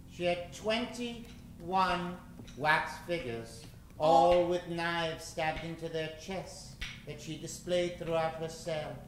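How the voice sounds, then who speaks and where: Slow, echoey male radio narrator. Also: a little high, fairly bright tone, slight background noise. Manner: dramatic, theatrical. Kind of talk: scripted old-time radio drama narration. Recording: echoey stage recording with music.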